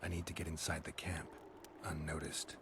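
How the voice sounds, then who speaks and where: Very deep, terse monster hunter. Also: male voice, little pitch movement, moderate pace, a bit bright, a little hiss or hum. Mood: terse, guarded. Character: terse, gruff male fantasy monster hunter hero. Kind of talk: video game dialogue acting.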